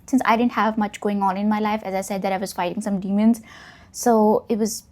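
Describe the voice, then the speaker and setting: A woman's voice: mid-range voice, steady tone, fairly quick, a little mellow. A young woman whose first language is Urdu, chatting reflectively in a casual get-to-know-me vlog. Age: young adult. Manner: reflective, rambling, self-deprecating. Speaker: vlogger.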